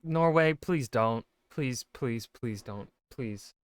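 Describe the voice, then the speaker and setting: Exclamatory man with lively, swooping pitch. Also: slow pace, clear, resonant tone, no room sound. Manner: exclamatory, wry, sweary. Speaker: reaction video host. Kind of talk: casual reaction commentary.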